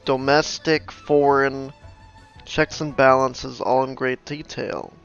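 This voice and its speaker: A man's voice: mid-range voice, fairly even intonation, slow pace, creaky, clear, resonant tone. A man giving casual gameplay commentary, reacting to dialogue in an offhand, dryly judging way. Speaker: gamer reacting to game dialogue. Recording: slightly dull mic, faint game music.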